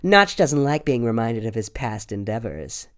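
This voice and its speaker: A man's voice: mid-range voice, expressive, moderate pace, natural voice. A man voicing a coquettish young serving woman with a cool, coy manner.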